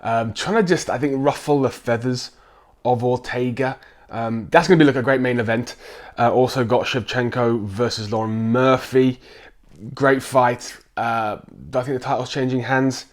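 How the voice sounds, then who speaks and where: Croaky man talking fights. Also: medium pitch, steady tone, normal speed. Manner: chatty, with frequent ums and restarts. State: croaky with a chest infection. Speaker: sports vlogger. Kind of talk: casual chat to camera.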